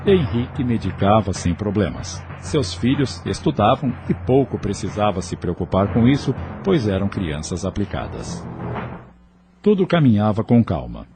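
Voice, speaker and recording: A man's voice: lowish voice, big pitch swings, moderate pace. A man narrating an audio novel in a scripted, dramatic storytelling style, with faint ambient noise.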